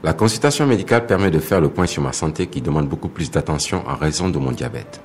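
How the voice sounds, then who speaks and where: A man's voice: very deep voice, lively intonation, fast talker, over music. A man playing an earnest, disciplined patient in an educational cartoon, explaining things didactically to viewers. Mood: earnest, explanatory. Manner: didactic, clearly addressing the audience.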